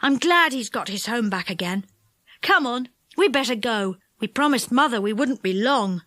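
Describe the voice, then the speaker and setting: Lady reading as a bossy-sensible big brother kid, firm, matter of fact, lively storybook voice. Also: fairly high pitch, moderate pace, dry recording, studio quality. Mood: brisk. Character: decisive, responsible boy, leader of siblings.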